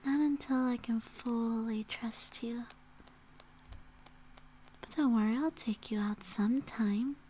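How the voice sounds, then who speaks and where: Woman acting a slow, whispery yandere wolf girl. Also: a little high, fairly even intonation, slight background noise. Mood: possessive, coaxing. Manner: breathy whispering mixed with soft speech, teasing, giggly. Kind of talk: ASMR roleplay, intimate address to listener.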